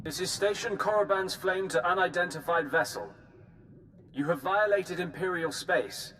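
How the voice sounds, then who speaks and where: An actor playing an officious, formal station officer of an evil empire in a video game. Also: male voice, high-pitched, expressive, moderate pace, fairly bright tone, slight background noise. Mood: stern.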